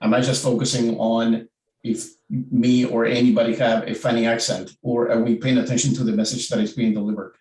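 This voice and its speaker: A man's voice: medium pitch, flat delivery, a little fast, a little mellow, reverberant, recorded from a distance, poor audio. A man from Argentina with an Argentine Spanish accent, speaking reflectively on a virtual panel and often repeating words as he thinks.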